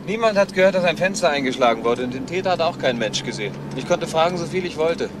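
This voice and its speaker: Guy as earnest junior cop in a radio crime drama, highish voice, bit halting, noisy recording. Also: expressive, natural voice. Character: earnest male junior police detective, reporting diligently. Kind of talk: German crime radio play.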